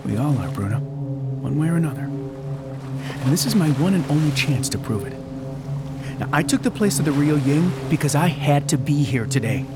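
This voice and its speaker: A man's voice: fairly high pitch, lively intonation, moderate pace, long pauses, loud music behind the voice. A man acting in an audio drama as an obsessive, insistent conspiracy theorist. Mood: conspiratorial. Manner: earnest, insistent explaining. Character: obsessive male conspiracy theorist, earnest, defensive, urgent.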